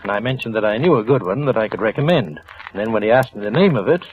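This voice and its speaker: A man's voice: lowish voice, animated, narrow, tinny audio, natural voice. Man playing a jittery guilty office clerk. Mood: defensive. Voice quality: halting, stammering, with nervous little laughs. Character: nervous guilty male office clerk, middle-aged. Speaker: narrator and lead character. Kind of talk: 1940s radio drama acting. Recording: old radio broadcast with music behind.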